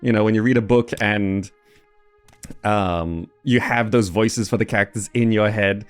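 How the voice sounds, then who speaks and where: A man's voice: lowish voice, fairly even intonation, a little slow, smooth, faint music behind. A man hosting a gaming video with casual, off-the-cuff commentary, often repeating words as he muses aloud.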